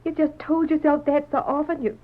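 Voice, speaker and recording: An actress playing a determined, proud rancher's wife with an American accent, earnest and pleading in old-time radio drama style. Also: female voice, high-pitched, level tone, fairly quick, natural voice. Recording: narrow tinny old radio recording.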